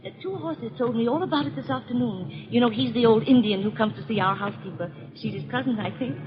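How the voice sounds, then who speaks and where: A woman playing a gentle, devoted invalid wife, hesitant and anxious to please, with refined old radio drama delivery. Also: fairly high pitch, fast, a crowd in the background, natural voice. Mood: eager, gentle. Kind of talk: 1930s radio drama acting. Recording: muffled old radio recording.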